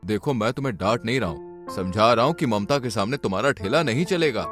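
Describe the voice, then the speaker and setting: A man's voice: medium pitch, expressive, quick pace, fairly bright tone, soft background music, natural voice. A man voicing a weary, plain-spoken poor labourer husband in a cartoon story. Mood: reproving.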